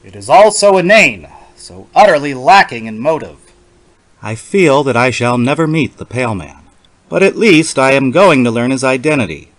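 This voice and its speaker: A man's voice: mid-range voice, expressive, normal speed, a bit bright, lightly acted. A man playing a lonely, ailing bachelor professor who narrates an old horror story in a formal, precise, brooding way. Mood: intrigued, irked. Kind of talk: dramatic reading of a 1930s horror story.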